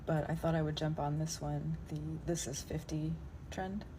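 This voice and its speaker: A woman in her early fifties speaking in a relaxed, slightly self-deprecating way in a casual selfie video. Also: low-pitched, normal speed, noisy background. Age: 50s.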